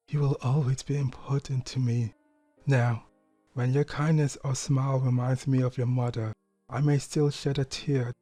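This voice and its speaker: A man voicing a gentle, loving middle-aged father pony in an audio story, speaking in an earnest, tender, measured way. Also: mid-range voice, no room sound, close to an everyday voice. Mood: tender, reassuring.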